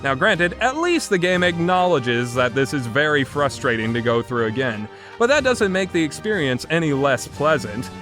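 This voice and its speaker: A man's voice: fairly high pitch, big pitch swings, normal speed, over music. A man giving opinionated review commentary in a blunt, sarcastic, emphatic manner. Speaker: video game reviewer.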